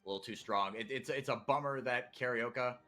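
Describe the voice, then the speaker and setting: A Brazilian-born man casting esports play-by-play from a broadcast booth, excitable and quick to shout through big plays. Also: mid-range voice. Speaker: play-by-play caster.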